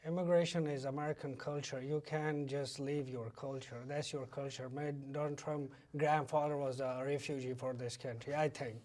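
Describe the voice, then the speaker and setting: A man from Afghanistan with an Afghan accent, a native Pashto speaker, answering interview questions in halting English. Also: mid-range voice, fairly even intonation, a little mellow. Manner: halting, non-fluent English with repeated phrases. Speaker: interview guest, former military interpreter.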